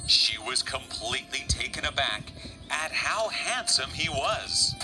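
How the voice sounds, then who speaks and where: A man's voice: mid-range voice, very animated, a little slow, loud music behind the voice. A man narrating a children's fairy tale as a scripted storyteller.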